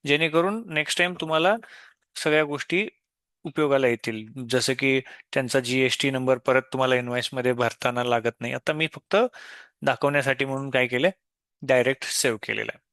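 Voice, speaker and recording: A man explains software step by step in an unscripted tutorial, often posing questions and answering them himself. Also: medium pitch, a little fast, dry recording, clean studio sound. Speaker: software demo presenter.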